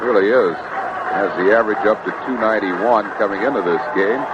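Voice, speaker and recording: A man's voice: fairly low pitch, brisk. A man calling baseball play-by-play on the radio in short, clipped phrases. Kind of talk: live radio baseball commentary. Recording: noisy, dull vintage radio broadcast. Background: loud outdoor stadium ambience.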